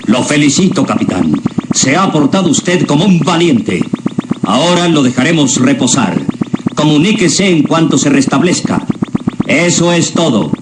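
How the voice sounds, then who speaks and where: An actor playing a commanding, earnest general running a space mission, formal and emphatic, in a radio drama. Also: male voice, a little high, expressive, normal speed, natural voice. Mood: approving, resolute. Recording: very noisy old radio drama recording.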